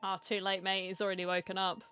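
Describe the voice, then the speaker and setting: A woman's voice: medium pitch, flat delivery, fast, pushed and bright, soft background music. A woman giving casual, jokey playthrough commentary with dry asides into a close mic. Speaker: game streamer.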